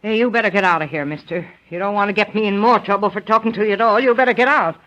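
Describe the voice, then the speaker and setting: An actress playing a weary, fearful old village widow with a rural American accent and a guarded, curt, folksy manner in a radio drama. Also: female voice, medium pitch, fast, fairly bright tone, lightly acted. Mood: fearful warning. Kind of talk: 1930s radio acting. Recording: muffled old 1930s radio recording.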